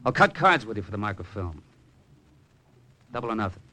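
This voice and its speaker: Low-voiced, hard-boiled radio gambler. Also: male voice, big pitch swings, a little fast, bright, forceful tone. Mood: cool, challenging. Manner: hard-boiled, slangy, laconic. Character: tough, cynical male gambler with a sentimental streak. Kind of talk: 1950s radio drama acting. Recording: noisy old radio drama recording.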